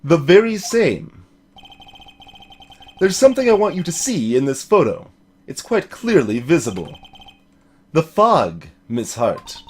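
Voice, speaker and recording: A man's voice: fairly high pitch, very animated, a little slow, pauses often, husky, full character voice. A man voicing an earnest, determined young defense lawyer in a video game, emphatic and dramatic. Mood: confident, pressing.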